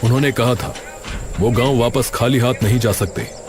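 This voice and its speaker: A man acts as a police inspector in an animated story, sober and sympathetic, with a mid-pitched voice. Clear noise runs behind him. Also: normal speed, lightly acted. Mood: grave, explaining.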